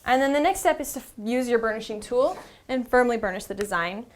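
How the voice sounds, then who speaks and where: A woman's voice: fairly high pitch, animated, brisk. A woman instructor explains a hands-on process step by step in an informal, conversational way. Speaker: instructor presenting a how-to series. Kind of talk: instructional demonstration, casually explained.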